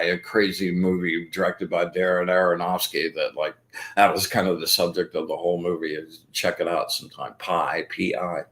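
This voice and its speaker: A man with a very low voice hosts a loose, chatty spiritual show. He rambles with plenty of fillers and restarts and gets excited as he explains his practice step by step. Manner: rambling and enthusiastic, many fillers. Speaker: host. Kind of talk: casual spiritual talk.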